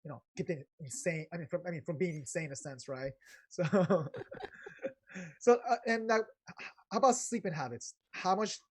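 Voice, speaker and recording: A man hosting a casual podcast chat, asking questions quickly and laughing often. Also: a little high, breathy edge. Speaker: podcast host.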